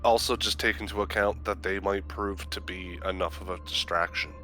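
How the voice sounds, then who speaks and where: Low, bright-edged man gaming casually. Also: steady tone, moderate pace, faint music behind. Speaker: tabletop game player. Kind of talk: casual group game chat.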